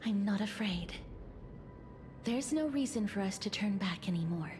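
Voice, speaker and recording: A woman playing a gentle, resolute young companion in a video game, soft and earnest with formal phrasing. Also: medium pitch, animated, normal speed, background noise, lightly acted. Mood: calm, resolute. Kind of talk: dramatic video game voice acting.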